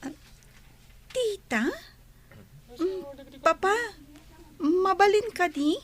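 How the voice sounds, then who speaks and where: A woman's voice: extremely high pitch, drawn-out words, heavily acted. A woman playing a sweet, eager young daughter in a radio drama, polite and wide-eyed in her delivery. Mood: polite, curious. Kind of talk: Ilocano radio drama acting.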